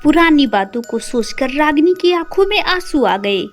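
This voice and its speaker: Woman reading a dramatic story, high pitched n very lively, music in back. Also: normal speed. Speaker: narrator. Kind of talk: scripted dramatic story narration.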